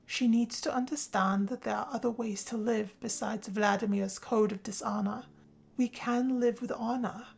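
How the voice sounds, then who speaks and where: A woman voicing a gentle, honorable vampire brother in a scripted drama, speaking softly and solemnly with a husky, gravelly edge. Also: fairly high pitch, fairly even intonation, moderate pace. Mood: earnest, tender. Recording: music under the voice. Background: music.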